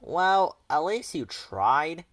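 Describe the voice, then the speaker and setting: A man's voice: on the high side, very animated, drawn-out words, a bit bright, no echo, voice pushed up, full character voice. A man voicing an earnest, kindly young boy from a cartoon storybook, questioning and mildly exasperated. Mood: concerned.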